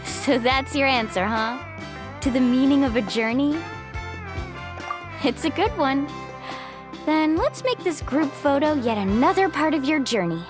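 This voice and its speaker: A friendly young island guide in an anime game. Her voice is high and very lively, breezy and casual in manner, over background music. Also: unhurried, pauses often. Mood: warm, upbeat. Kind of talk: anime-style video game voice acting.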